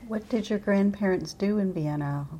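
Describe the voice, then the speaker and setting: A woman's voice: medium pitch, moderate pace, warm tone. A woman conducting an oral history interview in a private home, speaking politely and encouragingly.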